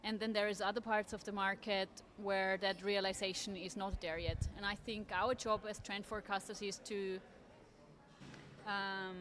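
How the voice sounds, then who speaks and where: A woman's voice: mid-range voice, little pitch movement, fairly bright tone, a little hiss or hum. Woman, thoughtful interview guest. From Austria. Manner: articulate, thoughtful, measured. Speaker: interview guest, trend forecaster. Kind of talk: conversational interview. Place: at a table at a design fair.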